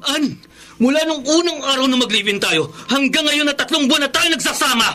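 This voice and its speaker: A man's voice: extremely high pitch, fairly quick, pushed and bright, full character voice. A man playing an earnest, exasperated young live-in boyfriend in a radio drama, speaking emphatically and pleadingly. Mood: angry, defensive. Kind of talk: Tagalog radio drama acting.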